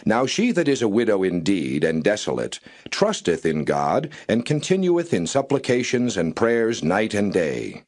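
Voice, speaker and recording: Man solemnly reading scripture, expressive pitch. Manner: measured, solemn, formal delivery. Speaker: Bible narrator.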